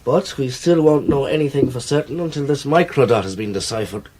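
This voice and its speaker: An actor playing a calm, reassuring British man in a radio drama, reasoning things out matter-of-factly. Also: medium pitch, brisk, background noise, natural voice. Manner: matter-of-fact, explanatory. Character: calm, reassuring, reasoning male amateur investigator friend.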